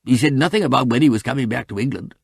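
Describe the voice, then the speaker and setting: A man's voice: mid-range voice, vocal fry, slightly rough, dry recording, studio quality, voice pushed up. A man voicing an elderly, fussy male lawyer who hesitates and halts often. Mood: fretful. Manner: hesitant, halting with ums.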